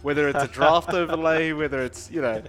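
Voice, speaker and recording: A man's voice: on the high side, fairly even intonation, fairly quick, a bit bright. Australian man casting esports. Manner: chatty, joking banter. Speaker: esports caster. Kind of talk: play-by-play commentary.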